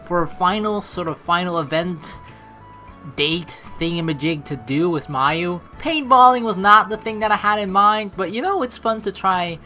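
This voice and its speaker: A woman's voice: fairly low pitch, normal speed, pushed and bright. A woman hosting a let's play, chatting informally with rambling asides and reading the game's story text aloud. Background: game music.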